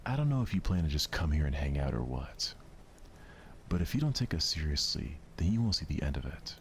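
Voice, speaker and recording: Guy reading as a bratty feisty teen girl in a visual novel, scolding, soft asmr, deep voice. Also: very animated, brisk, slight background noise, heavily acted. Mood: scornful. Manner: blunt, scolding. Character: feisty, scornful teenage schoolgirl club member.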